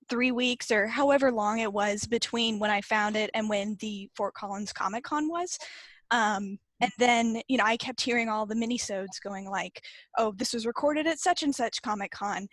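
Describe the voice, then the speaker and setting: A woman with a fairly high voice tells a story in a casual, conversational way. She sounds close and dry, as a guest on an online group call. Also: no echo. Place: indoors, on a group video call.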